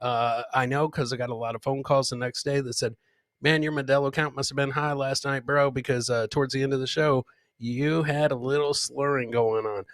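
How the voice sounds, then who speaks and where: A man's voice: mid-range voice, fairly even intonation, brisk, fairly bright tone, no room sound. A man hosting a comedy podcast, casually commenting on clips in a boisterous, slangy way with repeated catchphrases.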